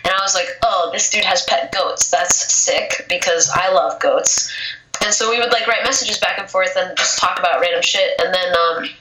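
A woman's voice: lowish voice, moderate pace, bright, forceful tone. A young woman poet with a cold, chatting casually in an interview and filling her speech with "like". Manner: casual, lots of filler "like". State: has a cold, losing her voice. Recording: echoey room, faint noise.

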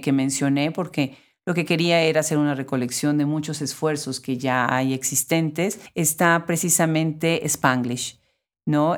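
Woman podcast host, deep voice, musing, lots of little fillers. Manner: thoughtful, with filler words and tag questions. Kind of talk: interview conversation.

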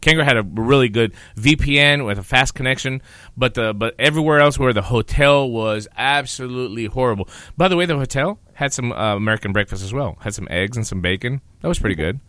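A man's voice: mid-range voice, brisk, fairly bright tone, dry recording. A man of Greek origin hosting a podcast, talking casually with lots of fillers and restarts. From Greece. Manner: rambling, lots of fillers and repeats. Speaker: podcast host.

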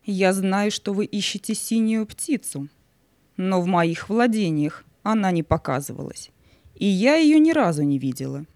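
A woman's voice: mid-range voice, lively intonation, normal speed, a little mellow, dry recording, natural voice. Woman as haughty Night, a majestic spirit queen. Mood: guarded, irritated. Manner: haughty, imperious. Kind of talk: audio play acting.

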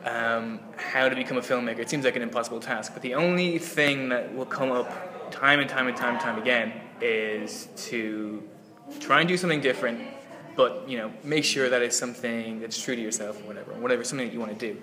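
A man's voice: medium pitch, fairly even intonation, fairly quick, crowd noise. Rambling young man filmmaker. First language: Irish. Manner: rambling, many fillers and restarts. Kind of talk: interview answer.

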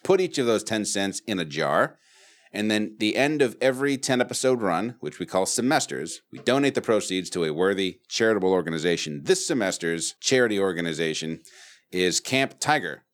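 Laid-back swearing man, low voice. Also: expressive, a little fast. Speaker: podcast host. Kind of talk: casual chat.

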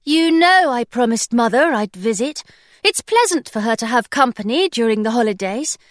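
A bold, spirited young pirate captain from a storybook, brisk and commanding with a bright, high, lively voice, as a woman narrator puts her on. The sound is close and dry. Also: dry recording, close to an everyday voice. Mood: firm.

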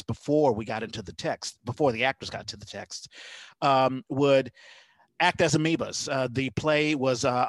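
A man's voice: medium pitch, no echo, low-quality recording. A man hosting a conversational podcast interview, feeling his way through explanations in a rambling, hesitant way. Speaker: podcast host.

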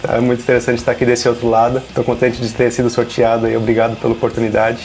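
A man's voice: medium pitch, monotone, very fast, darkish tone, slight background noise. A man from São Paulo, a podcast guest, talking in a polite, friendly way. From São Paulo, Brazil. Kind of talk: casual podcast chat.

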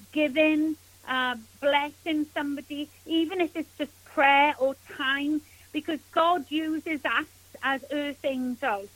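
A woman's voice: sits high, a bit bright, poor audio. A woman with a Welsh accent, a spiritual healer speaking as a guest on a radio interview, earnest and gushing with thanks.

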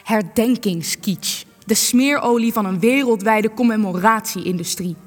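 An actress in a Dutch radio play, playing a sharp, cynical researcher who is sardonic, wordy and needling. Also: female voice, medium pitch, a little slow, natural voice. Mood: scornful, skeptical. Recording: long reverb, music underneath.

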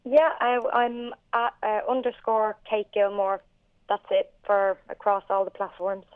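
A woman's voice: mid-range voice, flat delivery, a little slow, clear, resonant tone, no room sound. Woman on a thin phone line. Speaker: interview guest, actor. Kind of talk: conversational interview. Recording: narrow phone-like remote line.

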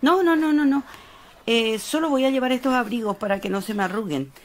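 An actress playing an anxious wife in a radio drama, speaking expressively with a Paraguayan accent. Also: female voice, on the high side, expressive, fairly quick, natural voice. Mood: fussy, chatty. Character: anxious wife settling into a haunted old house. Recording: music behind.

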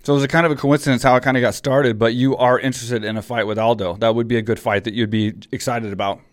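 A man's voice: medium pitch, fairly even intonation, dry recording, studio quality. Laid-back male interview host. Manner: laid-back, agreeable, quick to laugh. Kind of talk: casual interview.

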